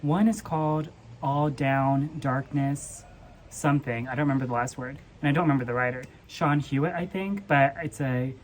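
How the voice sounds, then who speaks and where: Very low-pitched woman, casual, noisy room. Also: normal speed, darkish tone. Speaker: book vlogger co-hosting a Q&A tag. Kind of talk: casual chat.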